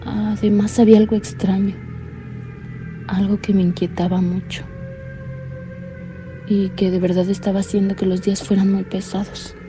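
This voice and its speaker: An actress playing a blind young woman calling in to tell a frightening story, talking in a low, earnest, uneasy way. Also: level tone, normal speed, pauses often, over music, natural voice. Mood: anxious. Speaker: caller. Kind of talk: radio drama acting.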